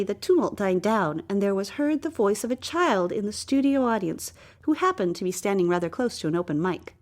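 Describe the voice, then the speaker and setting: A woman reading a satirical parable as scripted storybook narration, with theatrical, deliberate phrasing and stretched emphasis. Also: medium pitch, darkish tone. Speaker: story reader.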